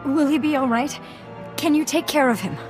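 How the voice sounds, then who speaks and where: Woman acting high-voiced anxious young survivor. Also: moderate pace, over music, lightly acted. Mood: frightened. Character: frightened, caring young female bombing survivor. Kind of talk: dramatic video game voice acting.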